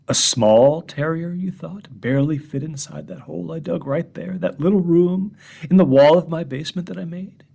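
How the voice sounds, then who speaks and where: A husky, breathy drunk madman, read by a man, leans in close to his listeners. He drops into near-whispers, then jumps up sharply with a mocking edge. His voice sits at an ordinary middle pitch beneath all that hushed rasp. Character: unhinged drunk man confessing to strangers. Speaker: horror story reader. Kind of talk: first-person horror monologue reading.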